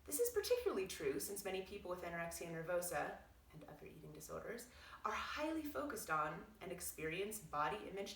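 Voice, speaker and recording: A woman reading aloud as a doctor explaining things in a measured, articulate, explanatory way. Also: lowish voice, vocal fry, a bit bright, slight background noise. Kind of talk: read-aloud narration with brief asides. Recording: roomy echo, distant from mic.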